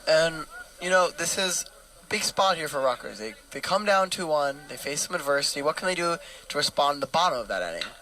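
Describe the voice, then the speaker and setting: A man's voice: fairly high pitch, lively intonation, brisk, bright, forceful tone, poor audio. A man giving color commentary on a baseball game, talking it through conversationally. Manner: conversational, thinking aloud about strategy. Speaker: color analyst.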